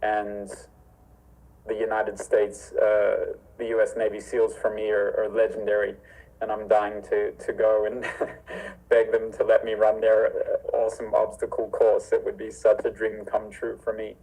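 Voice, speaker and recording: A man from Zimbabwe with a low, gravelly voice that stays on a narrow, even pitch. He talks earnestly and formally, in a reflective way, joining the talk by video call from his home. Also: a little slow. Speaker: martial arts instructor. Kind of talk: remote interview conversation. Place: home with a library.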